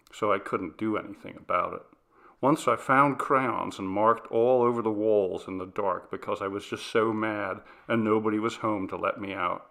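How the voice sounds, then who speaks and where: Gravelly, bright-voiced male story reader. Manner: dramatic, acts out dialogue with shifts of pitch and volume. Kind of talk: scripted narration of a ghost story, first person.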